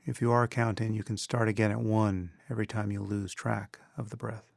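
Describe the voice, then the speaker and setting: A man leading a guided meditation as a teacher, in a measured, instructional manner with pauses between phrases. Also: on the low side, little pitch movement, vocal fry, no room sound, studio quality.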